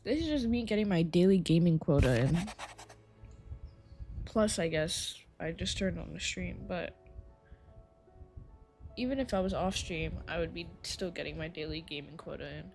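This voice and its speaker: A woman's voice: lowish voice, normal speed, long pauses, soft background music. A woman game streamer chatting casually on a live stream, her voice tired and a little scratchy. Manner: relaxed, rambling, chatty.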